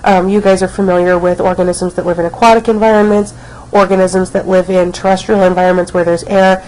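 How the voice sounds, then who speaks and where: A woman's voice: fairly low pitch, little pitch movement, a little fast, a little mellow, low-quality recording. A woman teacher recording a casual biology lecture, snuffly from a cold, with many ums as she talks. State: has a cold, snuffly and coughing. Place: at home, not at school.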